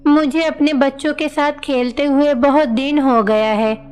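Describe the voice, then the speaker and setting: A woman voicing a gentle mother goddess who frets over her children in a devotional cartoon. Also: high-pitched, steady tone, a little slow. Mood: wistful. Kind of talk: animated devotional story dialogue. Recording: long reverb, music underneath.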